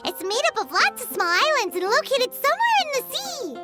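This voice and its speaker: Squeaky, very high-pitched little fairy sidekick. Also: female voice, big pitch swings, a little fast. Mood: perky, explaining. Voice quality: squeaky, childlike. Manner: refers to herself in third person. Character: tiny childlike female fairy companion, perky and cheeky. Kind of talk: video game character acting. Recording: game music behind the voice.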